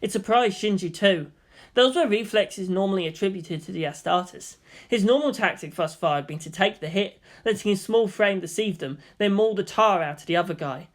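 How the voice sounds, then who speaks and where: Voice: mid-range voice, normal speed. A narrator reads fiction aloud in a mid-range voice. The delivery is scripted and dramatic, in audiobook style.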